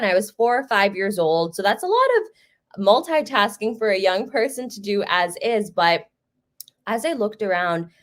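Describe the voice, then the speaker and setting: A young American woman from Chicago giving a conversational talk over a video call, telling personal stories. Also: medium pitch. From Chicago, United States. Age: young adult. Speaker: guest speaker. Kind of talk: storytelling talk.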